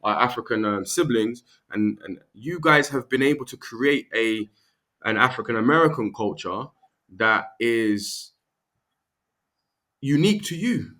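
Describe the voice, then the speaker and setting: A man's voice: medium pitch, lively intonation, measured pace, pushed and bright. A British man, a podcast guest, explaining his points earnestly and often checking in with "right?" and "okay?". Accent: British English. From England. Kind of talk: conversational podcast interview.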